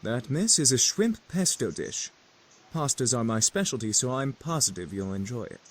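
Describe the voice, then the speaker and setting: A refined young male incubus from a visual novel voiced by a man speaks with formal politeness and a touch of hauteur. His intonation is very animated, and a faint noise sits behind him. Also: mid-range voice, normal speed, natural voice. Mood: proud, polite. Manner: formal, polite, slightly haughty. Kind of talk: visual novel game voice acting.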